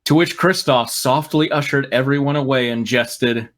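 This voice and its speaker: A man's voice: medium pitch, moderate pace, fairly bright tone. A man reading a story aloud as host and breaking off for sarcastic, irreverent asides. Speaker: host and reader on a fan fiction riffing show.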